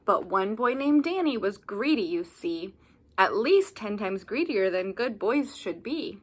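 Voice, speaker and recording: A woman reading a children's picture book aloud like a teacher, animated, with asides and questions to young listeners. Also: mid-range voice, measured pace.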